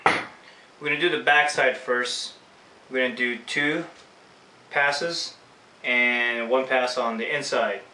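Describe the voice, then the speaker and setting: A man's voice: medium pitch, fairly even intonation, takes it slowly, long pauses, bright, forceful tone. A man with a Filipino accent giving an informal hands-on tutorial, casually talking through each step as he works. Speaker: screen printing instructor. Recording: echoey room, distant mic, faint noise.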